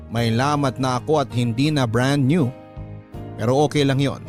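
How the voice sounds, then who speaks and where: Male radio storyteller over background music. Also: mid-range voice. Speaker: host and narrator. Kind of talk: dramatic first-person story narration reading a letter.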